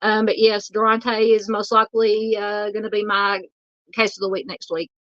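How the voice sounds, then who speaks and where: Southern woman, livestream host, hoarse and tiring, halting and polite, dry and slightly muffled mic. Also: medium pitch, little pitch movement, a bit bright, dry recording. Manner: halting, filler-heavy, polite with viewers. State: losing her voice. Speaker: true crime livestream host. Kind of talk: casual livestream chat reading viewer comments.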